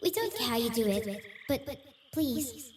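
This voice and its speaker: A woman's voice: fairly high pitch, animated, unhurried. A woman playing a worried, pleading female ghost in a fantasy audio roleplay. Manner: earnest, pleading. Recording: echoey, distant, with clear background noise.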